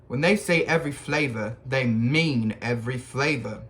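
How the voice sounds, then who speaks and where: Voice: mid-range voice, normal speed, fairly bright tone, natural voice. A reader voicing a casual, blunt young schoolboy in a storybook, close to the reader's own voice. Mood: offhand.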